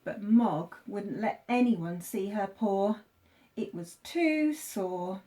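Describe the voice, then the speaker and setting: Female primary teacher, storybook read-aloud, unhurried and deliberate, very lively intonation. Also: medium pitch. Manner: expressive, deliberate phrasing for young children. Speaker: teacher reading to pupils.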